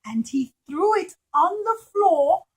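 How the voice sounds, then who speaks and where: A woman's voice: extremely high pitch, sing-song, slow. A woman telling a story to young children as a puppet show, with simple phrasing and dramatic emphasis, addressing them directly.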